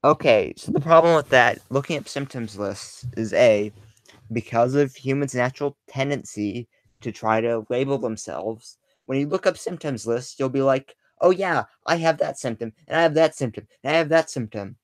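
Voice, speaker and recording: A man hosting his channel, pausing a clip to rebut it in skeptical casual commentary. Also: mid-range voice, dry recording. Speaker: channel host reacting to a video.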